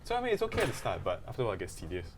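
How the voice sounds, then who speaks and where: A man co-hosting a gaming podcast, chatting casually in a jokey, animated storytelling way. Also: lowish voice, rapid-fire, background noise. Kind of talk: casual chat about video games.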